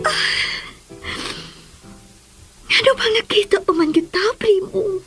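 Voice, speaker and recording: An actress playing a sorrowful, lovelorn middle-aged mother in a melodramatic radio drama, with a Filipino accent and a breathy, tearful delivery. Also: very high voice, animated, takes it slowly, bright, forceful tone, heavily acted. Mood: anguished longing. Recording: radio drama with music underneath.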